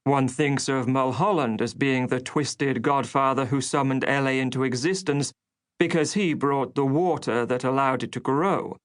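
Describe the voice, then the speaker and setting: Mid-pitched man narrating history. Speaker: audiobook narrator. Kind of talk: scripted documentary-style oral history narration.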